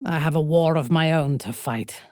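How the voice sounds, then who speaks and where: A woman playing a proud, ruthless dark elf noblewoman in a video game, speaking formally and deliberately with a sardonic, coolly menacing manner. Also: sits low, dry recording, clean studio sound, lightly acted. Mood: resolute, grave. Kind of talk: video game character voice acting.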